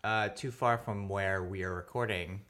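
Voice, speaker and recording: Low, bright-voiced easygoing man. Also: steady tone, moderate pace. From New York City. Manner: easygoing, loose, peppered with "like" and "um". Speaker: podcast guest, comedian. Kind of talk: casual interview chat.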